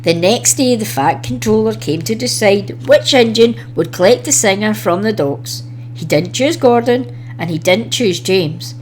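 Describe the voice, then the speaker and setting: A woman reading a children's story aloud as an expressive storyteller. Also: mid-range voice, measured pace, a little hiss or hum, rough recording.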